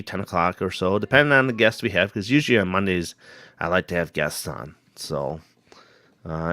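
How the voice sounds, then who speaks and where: A man hosting a paranormal live stream, hoarse from a cold, rambling casually and greeting viewers by name. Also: deep voice, fairly bright tone, no room sound.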